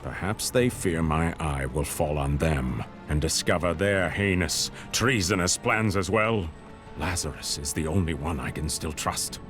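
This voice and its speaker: A tyrannical mad king speaks in a deep, cold voice. His monologue is imperious and suspicious, a dramatic game performance over prominent music. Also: a little slow. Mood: cold, paranoid. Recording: music behind voice.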